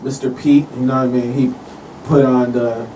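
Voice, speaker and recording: An American man in relaxed, casual conversation as a guest. His mid-pitched voice sits a little away from the microphone, with faint room noise around it, and he speaks in a loose, laid-back way full of "you know". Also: moderate pace, distant mic, rough recording. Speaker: guest, local performer. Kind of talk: casual chat. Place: radio studio.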